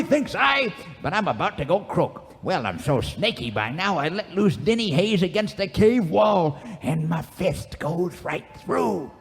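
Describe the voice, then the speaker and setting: An actor playing a cantankerous, boastful old cowboy sidekick, spinning folksy, rambling tales in a live old-time radio comedy. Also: male voice, sits high, creaky, exaggerated voice. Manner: folksy, rambling, theatrical storytelling. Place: live radio theater stage. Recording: echoey theater stage, music behind.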